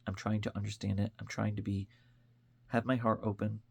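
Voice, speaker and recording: Low, gravelly American man talking earnestly. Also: little pitch movement, brisk. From upstate New York, United States. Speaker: online commentator. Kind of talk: informal opinion monologue.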